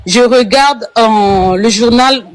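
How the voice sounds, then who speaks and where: A woman's voice: fairly high pitch, slow pace. A woman from Congo calls into a live stream. She speaks earnestly and repeats words for emphasis. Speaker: caller on a livestream.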